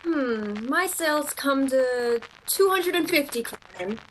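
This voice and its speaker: A woman playing a brisk shop worker in a radio play. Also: sits high, lively intonation. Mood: brisk, triumphant. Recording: noisy, with room ambience.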